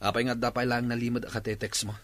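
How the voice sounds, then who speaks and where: A man's voice: mid-range voice, flat delivery, quick pace, a bit bright, no echo, lightly acted. A man with an Ilocano accent playing a jealous, devoted, heartbroken young boyfriend in a radio drama. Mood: jealous, accusing.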